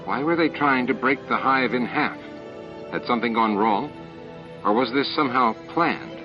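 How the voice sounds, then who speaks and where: A man's voice: mid-range voice, normal speed, music in the background. A man reading a science fiction novel as a scripted audiobook narration. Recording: muffled, band-limited tape audio.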